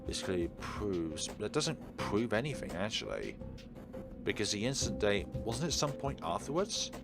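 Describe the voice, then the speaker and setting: A man with a fairly low voice reads a visual novel aloud as a let's player. He slips between narration and casual, musing commentary, with game music clearly playing behind him. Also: sing-song, normal speed. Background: game background music.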